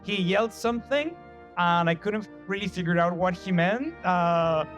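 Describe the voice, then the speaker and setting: Male comedian interviewee, Chilean Spanish accent, highish voice, casual delivery, music playing behind. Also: moderate pace, fairly bright tone, highs cut off. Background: background music.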